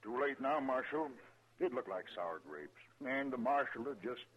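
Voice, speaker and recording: Casting: male actor as colloquial American fort storekeeper, mid-pitched, put-upon, on a very tinny vintage radio recording. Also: expressive, normal speed, fairly bright tone, close to an everyday voice. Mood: resentful, confiding. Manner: folksy, plain, colloquial. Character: folksy, aggrieved army storekeeper, married working man. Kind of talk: 1950s radio drama acting.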